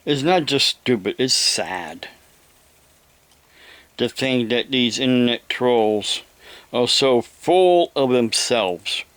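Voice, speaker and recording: An older man with an American accent delivers a rambling monologue, halting and deliberate, often repeating his phrases. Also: mid-range voice, lively intonation, fairly bright tone, no room sound. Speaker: evangelist vlogger.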